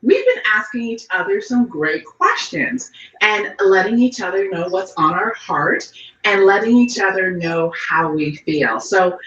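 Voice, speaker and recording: A woman's voice: mid-range voice, very animated, a little slow. A woman from Trinidad hosting a panel discussion, addressing her guests with affectionate names. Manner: warm-hearted and affectionate with guests. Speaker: panel host. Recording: echoey, distant, dull audio.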